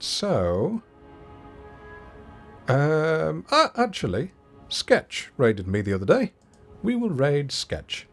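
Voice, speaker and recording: Gravelly, lively gamer guy. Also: fairly high pitch. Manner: chatty and self-deprecating, answers viewers' chat aloud. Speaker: streamer. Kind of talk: casual live-stream chat while gaming. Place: home, not soundproofed.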